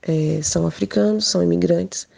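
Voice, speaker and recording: Woman chatting about her own life, really gravelly fry, kinda low voice. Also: moderate pace, a little mellow. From Portugal. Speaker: guest speaker sharing personal experience. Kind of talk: informal spoken personal account.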